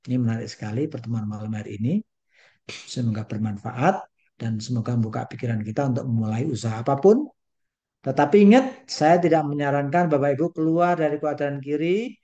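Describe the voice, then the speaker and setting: Older male lecturer, mellow tone, folksy delivery with English phrases mixed in, video call. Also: on the high side. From Indonesia. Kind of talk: online lecture.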